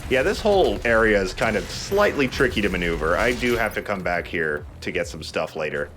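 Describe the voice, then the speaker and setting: A man's voice: fairly low pitch, big pitch swings, a little fast, background noise. A man giving casual gameplay commentary as host of a let's play, explaining mechanics as he goes. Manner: explains game mechanics as he plays.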